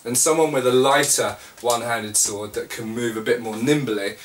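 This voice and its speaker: A man's voice: mid-range voice, normal speed, slight background noise. Congested British man in an echoey room. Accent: British English. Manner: relaxed, explanatory, with many fillers. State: congested, recovering from lost voice. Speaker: channel host. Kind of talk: casual talk to camera. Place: small room indoors. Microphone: camera mic at a short distance. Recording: echoey room, slightly distant mic.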